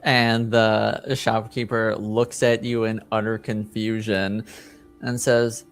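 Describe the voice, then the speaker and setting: Slow-paced man running a tabletop game. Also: fairly low pitch, little pitch movement, soft background music. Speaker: game master. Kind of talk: casual tabletop game narration.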